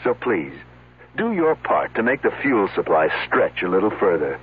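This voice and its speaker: A man's voice: fairly low pitch, sing-song, normal speed, husky. A man reading a public service announcement in an earnest, emphatic way. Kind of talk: scripted announcement. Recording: tinny old radio broadcast.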